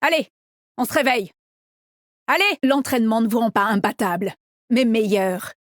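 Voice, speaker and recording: High-pitched, cocky young game heroine played by an actress. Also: female voice, animated, no room sound, clean studio sound, natural voice. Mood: cocky, teasing. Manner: confident, teasing, brisk delivery. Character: young, cocky, upbeat female agent in a tactical shooter game. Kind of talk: video game voice lines, short punchy callouts.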